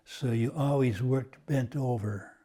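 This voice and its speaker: An older man from Washington State, Croatian as his first language, slowly recalling his family's past with frequent pauses. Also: mid-range voice, mellow. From Washington State, USA. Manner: slow and halting, many uh pauses. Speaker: oral history interviewee. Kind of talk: reminiscing, oral history.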